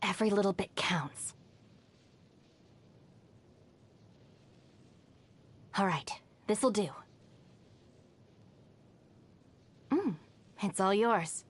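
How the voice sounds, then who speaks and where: A woman's voice: medium pitch, animated, normal speed, slightly rough. An actress voicing a determined, commanding young woman fighter in an anime video game. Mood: relaxed. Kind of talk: video game character voice lines.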